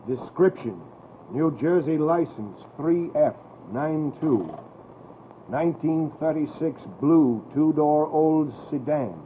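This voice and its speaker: A man's voice: a little high, slow pace. A man playing a dry police radio dispatcher on night shift, in old-time American radio drama style. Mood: businesslike. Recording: muffled, hissy vintage radio recording.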